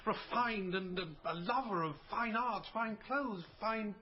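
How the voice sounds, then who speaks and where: An actor playing a grandiose, excitable travelling showman and father, with a flowery, pompous way of talking, in a radio drama. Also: high-pitched, unhurried, slight background noise. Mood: wheedling. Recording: dull lo-fi broadcast, crowd behind.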